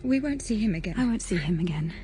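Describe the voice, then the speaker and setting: A woman playing a frightened, fixated young governess, speaking haltingly and intensely in a gothic audio drama. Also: medium pitch, expressive, warm tone, faint music behind, natural voice. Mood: uneasy, brooding. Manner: halting, intense, repeating short phrases. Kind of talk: dramatic audio drama acting with inner monologue.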